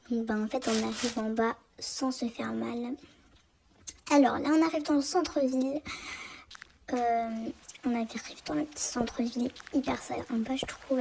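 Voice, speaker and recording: A young French woman with a hoarse, often half-whispered voice, pitched a little high and childlike. She rambles through a game tour with lots of fillers and restarts. A steady noise sits under her homemade recording. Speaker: game channel host. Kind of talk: casual game tour commentary.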